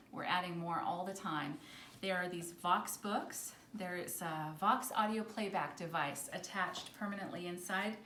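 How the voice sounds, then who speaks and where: A woman's voice: medium pitch, a bit bright. A woman librarian casually presenting children's books in a chatty, informal way, explaining things as she goes. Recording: roomy echo with faint background noise.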